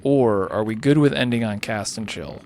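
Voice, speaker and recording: Tired, low-voiced man on a stream. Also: normal speed, darkish tone, a little hiss or hum. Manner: rambling, thinking aloud. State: tired, voice starting to go hoarse. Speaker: livestreamer hosting a charity gaming stream. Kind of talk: casual unscripted game-stream chat.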